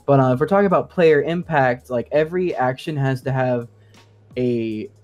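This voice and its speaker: A man's voice: medium pitch, moderate pace, darkish tone, soft background music. A man explaining his craft as a guest in a casual, informal discussion. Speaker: podcast guest, sound designer.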